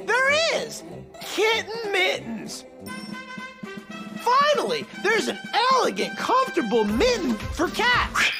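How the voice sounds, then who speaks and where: Sitcom role: scrappy cat-obsessed male bar owner, very high voice, wildly swooping delivery, erratic and cocksure. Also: sing-song, slow, creaky, music in the background, exaggerated voice. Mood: manic salesmanship. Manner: insistent, erratic, self-assured rambling. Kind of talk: sitcom acting.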